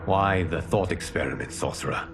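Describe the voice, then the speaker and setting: An actor playing a grave, wise leader of warriors in a video game, measured and authoritative. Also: male voice, low voice, background noise. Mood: skeptical.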